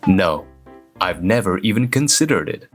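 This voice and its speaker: A man playing a reserved, awkward office worker who narrates his own manga-dub story in a measured, deadpan way. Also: sits low, soft background music. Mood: flat, dismissive. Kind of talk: scripted first-person narration in a manga dub.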